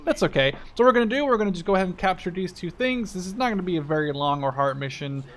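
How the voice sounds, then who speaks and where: A man's voice: high voice, lively intonation, quick pace, a bit bright. A man in his twenties from the Netherlands giving informal, sweary gameplay commentary, thinking aloud as he plays. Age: 20s. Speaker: gaming video creator.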